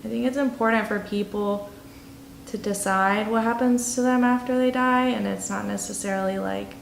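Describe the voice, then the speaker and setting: A woman of twenty-three speaking candidly and haltingly about personal things in a documentary interview. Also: mid-range voice, steady tone, gravelly, slight background noise. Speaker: documentary interviewee, nursing student. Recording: roomy echo with music behind. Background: instrumental music.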